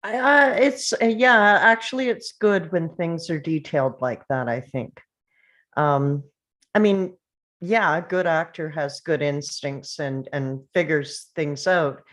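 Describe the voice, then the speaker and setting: Low-voiced woman speaking haltingly. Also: fairly bright tone. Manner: halting, hesitant starts. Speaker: actor on a discussion panel. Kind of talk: casual group discussion of a script.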